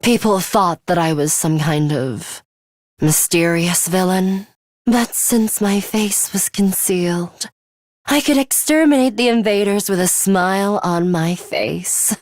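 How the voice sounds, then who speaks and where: A composed schoolgirl fighter from a video game, arrogant and quietly bloodthirsty. Her voice is slightly low and very unhurried, with formal, exact phrasing and a faintly sinister edge. The sound is close and dry. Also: dry recording. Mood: composed, aloof. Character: serious, coolly arrogant young schoolgirl fighter with a bloodthirsty streak. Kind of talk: English video game voice acting, measured monologue.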